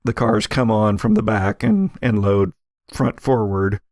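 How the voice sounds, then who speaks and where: A man running a tabletop game as game master, narrating in a conversational, halting, explanatory way. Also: lowish voice, darkish tone, dry recording, studio quality.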